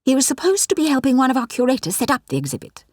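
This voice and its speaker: A woman's voice: high-pitched, sing-song, racing, darkish tone, no echo, clean studio sound, voice pushed up. A woman voicing a stern, proper older female museum doctor in an audiobook, polite but clipped and reproachful.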